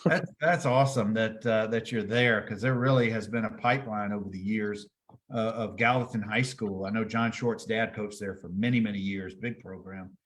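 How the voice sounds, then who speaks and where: A man's voice: lowish voice, steady tone, normal speed. A man hosting a sports interview podcast, himself a former college player, asking long conversational questions.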